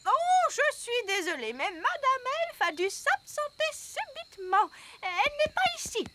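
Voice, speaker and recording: An apologetic cartoon character, in an extremely high, swooping voice, politely making excuses. Loud noise and clicks sit behind the words. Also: female voice, very animated, measured pace, exaggerated voice. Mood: falsely apologetic. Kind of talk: animated children's cartoon acting.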